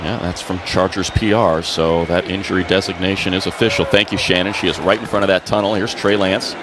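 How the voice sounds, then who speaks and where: An American man works as a play-by-play announcer on a football radio broadcast. His voice sits low, and there is clear stadium noise behind him as he calls the action. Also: fairly even intonation, brisk. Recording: noisy stadium booth broadcast. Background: stadium ambience.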